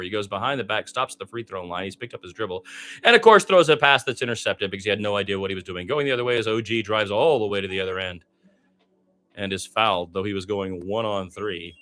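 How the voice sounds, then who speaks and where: A man's voice: fairly low pitch, a bit bright. A man doing live radio basketball play-by-play in a conversational, opinionated, exclamatory way.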